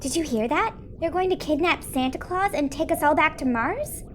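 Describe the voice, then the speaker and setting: Woman playing a high, pert little girl. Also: expressive, normal speed, noisy background. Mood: worried. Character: sensible, skeptical young Earth girl Betty. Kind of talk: comic audio drama.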